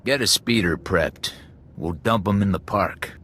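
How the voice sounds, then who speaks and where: Low, curt male interrogator. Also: measured pace, a bit bright, slight background noise. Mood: businesslike, cold. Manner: curt, menacing, matter-of-fact. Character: hard, businesslike male thug interrogator giving orders. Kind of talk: video game drama acting.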